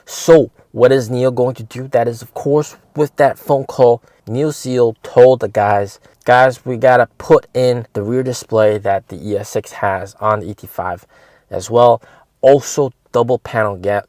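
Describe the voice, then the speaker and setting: A man with a medium-pitched voice presents in the casual style of a car review vlogger. He leans on key words and repeats them for emphasis. The sound is dry, with little room echo. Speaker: host of an electric car stock channel.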